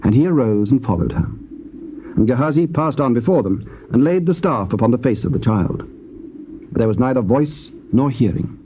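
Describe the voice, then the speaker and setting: A man narrating scripture in a measured, stately way in a dull, lightly hissy old tape recording. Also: mid-range voice, expressive, mellow.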